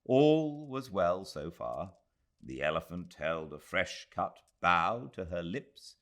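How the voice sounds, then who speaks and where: A British man reading a literary audiobook in a measured, expressive storytelling manner. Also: deep voice. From Britain. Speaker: audiobook narrator.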